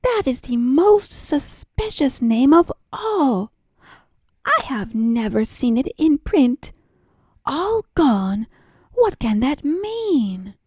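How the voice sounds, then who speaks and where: A woman's voice: high-pitched, very animated, slow, a little mellow, no echo, clean studio sound, heavily acted. A woman voicing a timid, trusting little female mouse in a storybook, exclaiming in wide-eyed surprise. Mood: alarmed.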